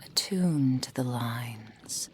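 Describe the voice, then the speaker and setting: A woman's voice: rumbling low, lively intonation, very slow, a little hiss or hum, exaggerated voice. A woman voicing a possessive kitsune witch mentor in a roleplay ASMR, speaking in formal, old-fashioned phrases. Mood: soft, coaxing. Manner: breathy whispering, formal archaic phrasing.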